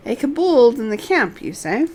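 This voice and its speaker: A woman voicing an earnest, bookish young male scholar from a fantasy game in a formal, measured manner. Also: a little high, very animated, darkish tone, pitched above the performer's own voice. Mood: earnest, pondering.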